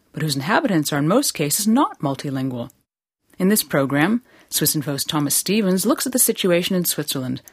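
Woman presenter, scripted intro, husky, lively pitch, dry sound. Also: dry recording. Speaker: radio presenter.

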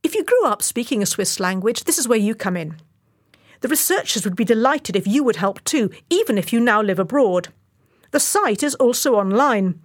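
A woman reporter delivering a scripted radio feature with clear, explanatory narration. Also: fairly high pitch, animated, normal speed.

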